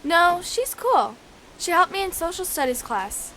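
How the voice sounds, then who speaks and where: Young female as schoolgirl, high voice, earnest, friendly, radio play, faint noise behind. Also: very animated, measured pace, sharp tone, heavily acted, low-quality recording. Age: child or teenager. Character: friendly, kind schoolgirl standing up for others. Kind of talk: amateur radio drama acting.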